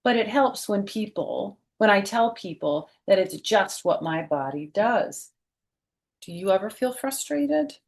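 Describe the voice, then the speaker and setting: A middle-aged woman reading a picture book aloud for children, with gentle teacherly phrasing and chatty personal asides. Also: mid-range voice, lively intonation, unhurried.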